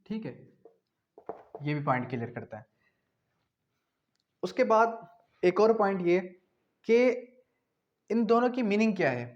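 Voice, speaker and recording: Male lecturer, Pakistani accent, fairly high voice, very measured didactic delivery with repeated phrases, muffled lo-fi recording. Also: lively intonation. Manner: didactic, repetitive, posing questions and answering them. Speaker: law lecturer. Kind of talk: explanatory lecture with slow dictation of definitions.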